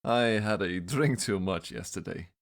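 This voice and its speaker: A man's voice: fairly low pitch, measured pace, creaky. A man playing a laid-back, flirtatious Victorian doctor in an audio drama, with music behind him. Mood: lazy, amused. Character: easygoing, hungover, flirtatious male Victorian doctor.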